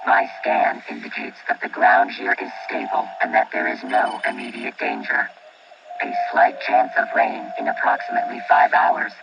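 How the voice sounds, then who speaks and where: A man's voice: sing-song, normal speed, vocal fry, music in the background, full character voice. A man playing a formal, unemotional robotic flight engineer and bodyguard in a radio play, with a robot filter on the voice. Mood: calm, reassuring. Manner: formal, precise, unemotional machine-like phrasing. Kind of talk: audio drama acting. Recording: distant, muffled, very noisy with vehicle rumble.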